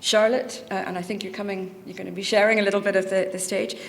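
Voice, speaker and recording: A woman's voice: medium pitch, little pitch movement, fast talker, creaky, slight background noise. A woman hosting an event, reading out speaker biographies with light asides. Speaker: event host introducing speakers. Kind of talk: formal speaker introduction. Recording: reverberant hall sound.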